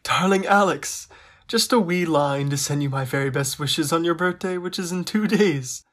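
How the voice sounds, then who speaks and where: A man's voice: on the high side, normal speed, a bit bright, natural voice. An actor playing an affectionate, lighthearted young Russian crown prince, reading his letters aloud in a playful, formal way. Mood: fond, playful. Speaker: actor in an audio drama. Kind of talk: dramatic reading of personal letters.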